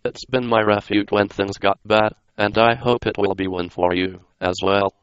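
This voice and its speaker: A computerized male voice reading scripted narration in a flat, undramatic way. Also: lowish voice, moderate pace, clean tone, no echo, dull audio. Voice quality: synthetic computer voice. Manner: flat, non-dramatic reading. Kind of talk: scripted narration read by text-to-speech.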